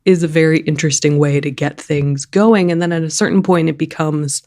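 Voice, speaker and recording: Woman panelist, gravelly, eager, casual film talk. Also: sits low, fairly even intonation, fairly quick, a little mellow. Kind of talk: casual discussion.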